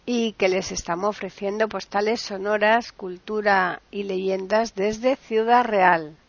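A woman's voice: medium pitch, fairly even intonation, a little slow, no echo, dull audio. A woman with an accent from Spain chatting casually as a radio co-host, attentive and agreeable. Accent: Spanish from Spain. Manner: attentive listener giving brief agreeing replies.

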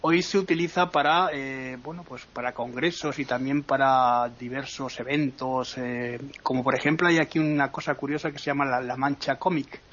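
A man's voice: mid-range voice, steady tone, moderate pace, few pauses, fairly bright tone, dull audio. A man with an accent from Spain talking casually as a radio travel guide, hesitant and rambling, often checking for agreement. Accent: Spanish from Spain. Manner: rambling, hesitant, keeps seeking agreement with tag questions. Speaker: radio travel segment presenter.

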